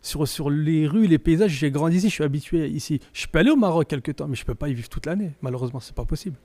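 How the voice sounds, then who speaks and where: A man's voice: fairly high pitch, lively intonation, fast, darkish tone, no echo. A young man from France, talking casually as a podcast guest in an informal, slangy way and often repeating words while he searches for them. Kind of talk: casual interview chat.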